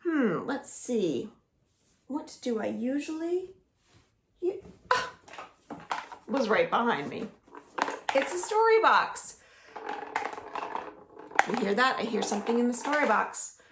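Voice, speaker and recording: A woman librarian reading a picture book and singing for young children at home, slow and coaxing, inviting them to join in. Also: fairly high pitch, big pitch swings, reverberant, noisy background. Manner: slow, singsong and coaxing, asks the listeners to join in.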